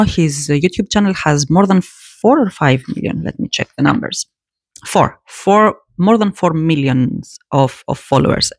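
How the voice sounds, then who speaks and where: Woman commentator, lower voice with a lot of swing in it, dry close sound, restarts phrases as she talks. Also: no echo. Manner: animated, repeats words and restarts phrases. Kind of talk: casual spoken commentary.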